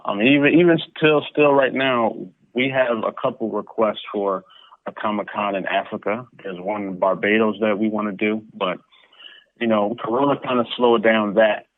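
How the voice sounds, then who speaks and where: A man with a low voice comes through a narrow, phone-like connection with no room echo. He talks as an interview guest in a casual, conversational way. He often doubles back on words and short phrases as he builds his point. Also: fairly even intonation, normal speed. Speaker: interview guest, comic book publisher. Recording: muffled phone-like line.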